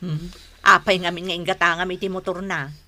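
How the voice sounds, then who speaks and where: A woman's voice: fairly low pitch, steady tone, brisk, sharp tone, no room sound. A woman playing a middle-aged gossiping friend in a radio drama, nosy, inquisitive and insinuating. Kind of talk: Ilocano radio drama acting.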